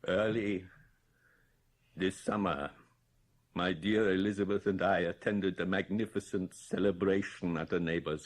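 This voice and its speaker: Old vengeful general, low husky voice, slow and formal, dramatic radio play style. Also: male voice. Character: grief-stricken, vengeful old general, courtly and intense. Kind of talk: 1980s radio drama acting.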